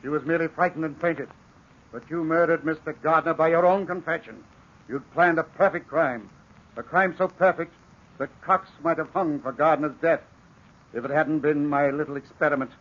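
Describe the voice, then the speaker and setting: An actor playing a composed, shrewd professor in a 1940s radio drama, laying out an explanation in a measured way. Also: male voice, fairly high pitch, lightly acted. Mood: calm, assured. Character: calm shrewd male professor who unmasks a killer. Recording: dull old radio broadcast recording.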